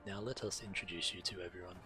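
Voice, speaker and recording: A low-pitched male game streamer reads quest text aloud with a measured, deliberate delivery. Fantasy game music plays clearly behind him. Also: steady tone. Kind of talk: reading quest text aloud while playing.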